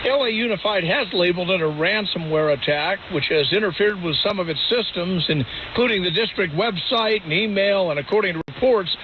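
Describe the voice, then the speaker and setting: A male radio reporter with a fairly high voice delivers a live news report. The signal is muffled, with clear hiss and noise around him. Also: brisk. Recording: muffled hissy radio relay.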